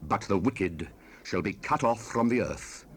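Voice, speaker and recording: A man's voice: lowish voice, lively intonation, a little slow. An actor playing a stern fatherly biblical sage, declaiming scripture solemnly in an archaic style for a dramatized audio Bible. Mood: solemn, earnest. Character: stern fatherly biblical sage, exhorting and warning his son. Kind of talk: dramatized King James scripture reading, 1970s audio production. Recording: noisy raw cassette rip.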